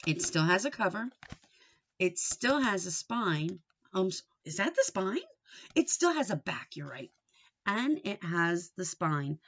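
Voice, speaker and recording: A woman teaching and reading aloud to young children, explaining things simply, asking them questions and reading with deliberate expression. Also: on the low side, big pitch swings, a bit bright. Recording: dull, lo-fi sound.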